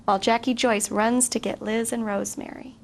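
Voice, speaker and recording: High, creaky-voiced woman reading a storybook. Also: fairly even intonation, a little fast. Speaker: guest story reader. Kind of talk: expressive picture-book read-aloud to children. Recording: faint background noise.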